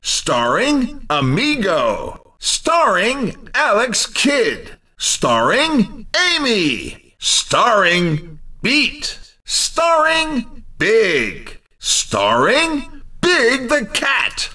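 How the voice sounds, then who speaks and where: A man's voice: sits high, huge pitch swings, drawn-out words, pushed and bright, recorded from a distance, exaggerated voice. A man playing an exuberant, upbeat race commentator in a video game, with punchy, theatrical, emphatic delivery. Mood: showy introducing. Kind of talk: excited arcade racing game announcing.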